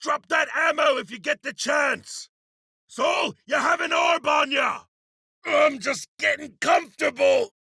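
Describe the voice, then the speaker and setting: An actor playing a seasoned, courteous frontier gunslinger in a video game, with a gravelly, raspy voice and a folksy drawling manner. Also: male voice, extremely high pitch, a little slow, bright, forceful tone, dry recording, raised voice, clean studio sound, full character voice. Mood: urgent, strained. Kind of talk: video game character voice lines.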